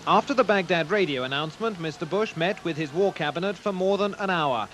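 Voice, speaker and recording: High-voiced male TV news correspondent. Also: brisk, a bit bright, slight background noise. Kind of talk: scripted news report.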